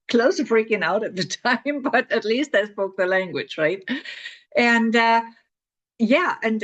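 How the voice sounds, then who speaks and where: Chatty woman author telling her own stories. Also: medium pitch. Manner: chatty, laughs often, restarts with repeated words. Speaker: author promoting her book. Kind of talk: casual spoken storytelling to camera.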